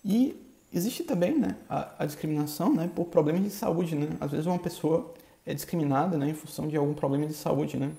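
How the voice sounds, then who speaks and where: A man from Bahia with a Bahian accent, hosting a podcast in a halting, casual monologue full of filler tags. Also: on the high side, gravelly, warm tone. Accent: Bahian Brazilian Portuguese. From Bahia, Brazil. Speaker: podcast host. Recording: echoey room.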